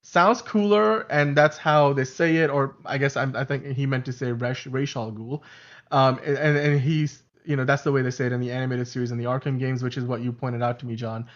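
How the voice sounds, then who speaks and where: Man, native Urdu speaker, chatty livestream co-host, laughs a lot, mid pitch, dull lo-fi audio. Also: steady tone, brisk. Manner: chatty, self-correcting, laughs often. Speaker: podcast co-host. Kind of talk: casual livestream chat.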